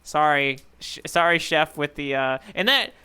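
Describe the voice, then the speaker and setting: Playful podcast guy, bright smooth voice, just chatting about comics. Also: on the high side, unhurried. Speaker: podcast co-host. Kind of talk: casual manga discussion chat.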